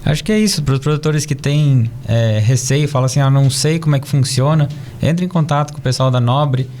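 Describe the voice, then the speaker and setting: A man from Israel living in Brazil, speaking as a studio podcast guest in a measured, earnest way. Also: a little high, fairly even intonation, a little mellow. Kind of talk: casual interview chat.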